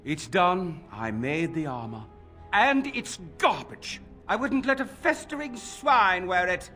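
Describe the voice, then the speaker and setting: A man's voice: sits high, very animated, slow pace, bright, forceful tone, faint music behind, heavily acted. A man playing a fussy perfectionist master armorer in a video game, dramatic, self-important and given to exclaiming. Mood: indignant, disgusted.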